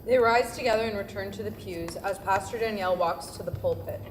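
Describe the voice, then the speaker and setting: A woman narrates scripted stage directions. Her mid-pitched voice comes through a very echoey room with a good deal of noise on the recording. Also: a little fast. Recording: echoey, noisy room, distant sound.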